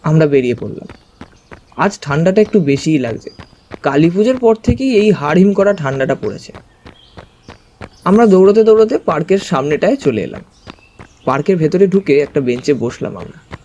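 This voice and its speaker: A man's voice: fairly high pitch, lively intonation, moderate pace, long pauses, mellow, slight background noise. A man giving a dramatized first-person narration of a mystery story. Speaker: story narrator, also voicing the narrating character.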